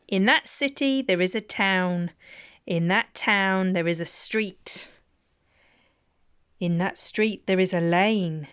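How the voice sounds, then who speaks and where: Slow, smooth-voiced mum reading. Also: female voice, mid-range voice, no room sound, muffled recording. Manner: gentle, chatty asides between rhymes. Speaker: parent reading bedtime rhymes. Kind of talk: reading nursery rhymes aloud.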